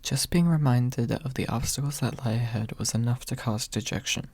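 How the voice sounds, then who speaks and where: A young man with a soft, husky voice, reading quietly in an ASMR audiobook style, close to the microphone. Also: mid-range voice, moderate pace, no echo. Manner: soft-spoken, hushed late at night. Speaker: amateur audiobook reader. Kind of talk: ASMR, quiet storybook narration. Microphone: close USB microphone without pop filter.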